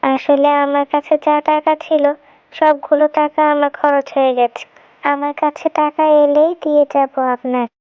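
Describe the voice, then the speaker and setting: A woman voicing a humble, gentle widowed mother fox in a children's cartoon story. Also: sits high, level tone, moderate pace, dry recording, muffled recording, lightly acted. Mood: humble pleading.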